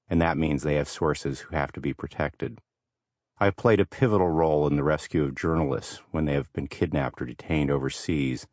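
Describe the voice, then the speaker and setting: A man reading scripted audiobook narration in a measured, articulate way, close to a studio microphone. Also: very deep voice, dry recording.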